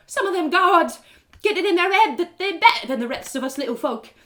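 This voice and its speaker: A woman's voice: extremely high pitch, animated, fairly quick, fairly bright tone, voice pushed up. A woman voicing a humble, anxious beggar woman with a folksy, deferential manner in a storybook reading. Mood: resigned.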